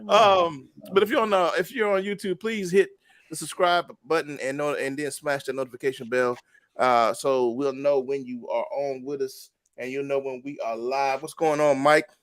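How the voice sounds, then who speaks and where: A man's voice: medium pitch, fairly even intonation, normal speed, dry recording. A man hosting a casual livestream talk show, chatty and greeting viewers by name. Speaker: show host.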